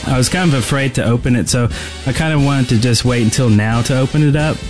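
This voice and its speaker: A man co-hosting a podcast in casual chat, rambling and halting as he talks. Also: medium pitch. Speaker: podcast co-host. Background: music.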